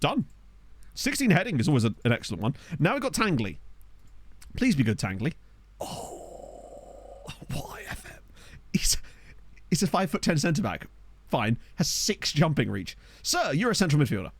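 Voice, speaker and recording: A man's voice: a little high, wildly swooping pitch, normal speed, long pauses, slight background noise. A man giving casual, rambling commentary as he streams a football management game, laughing and reacting aloud to the players he finds. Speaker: gaming streamer. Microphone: desk microphone.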